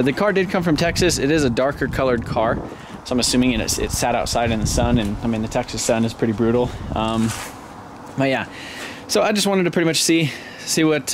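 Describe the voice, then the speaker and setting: A man's voice: medium pitch, slight background noise. A man in his thirties filming himself with a handheld camera, walking around cars on an outdoor lot and rambling casually with lots of ums and restarts. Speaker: car vlogger. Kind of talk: casual walkaround vlog. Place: outdoor salvage car lot, then a garage shop. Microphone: handheld camera at arm's length.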